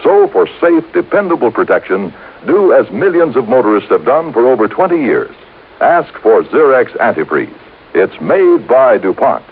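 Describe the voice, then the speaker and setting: A man delivering an emphatic, polished sales pitch as an old-time radio commercial announcer. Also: very animated, moderate pace, slight background noise. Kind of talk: 1950s radio advertising pitch. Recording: muffled vintage radio broadcast.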